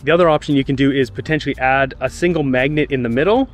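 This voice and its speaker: A man's voice: mid-range voice, fast talker, faint music behind. A young man casually explaining a do-it-yourself car project outdoors, recorded on a handheld camera. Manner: relaxed, explanatory, with fillers. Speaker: vlogger. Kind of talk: casual how-to demonstration. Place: outdoors beside a parked car on a residential street. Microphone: action camera held at arm's length.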